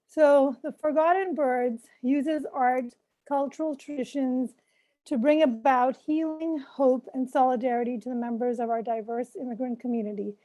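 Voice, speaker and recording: A woman who grew up in Pakistan, an artist and mentor, presenting her work in a halting way with many fillers. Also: high voice, steady tone, mellow, low-quality recording.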